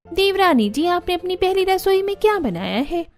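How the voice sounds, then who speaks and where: A woman's voice: very high-pitched, sing-song, normal speed, pitched above the performer's own voice, exaggerated voice. A woman voicing jealous, scheming young daughters-in-law in a cartoon, with a taunting, indignant manner. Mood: mocking.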